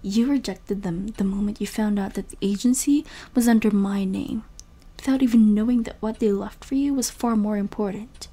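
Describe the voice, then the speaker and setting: An actress playing a hurt, caring but firm young woman in an emotional audio drama, breathy and earnest, often near whispering. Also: medium pitch, moderate pace, dark tone, natural voice. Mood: hurt, accusing.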